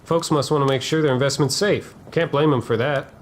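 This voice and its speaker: A man's voice: medium pitch, fairly even intonation, fairly quick, a little hiss or hum, lightly acted. A man playing an obedient, unsympathetic cavalry private in a historical drama, talking in dismissive, folksy frontier phrases. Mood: wry.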